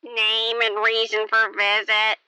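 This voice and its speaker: A woman's voice: fairly high pitch, monotone, slow pace, pushed and bright, clear, resonant tone, dry recording, full character voice. A woman playing a jaded, gossipy clinic receptionist in an amateur radio play, blunt, dismissive and matter-of-fact. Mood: bored, brisk.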